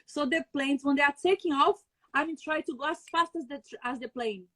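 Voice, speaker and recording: A woman's voice: high voice, a little fast, a bit bright, no room sound. Chatty Brazilian woman on a video call. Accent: Brazilian Portuguese. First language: Portuguese. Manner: chatty, laughs often, searching for words. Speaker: guest chef. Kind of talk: casual video-call chat.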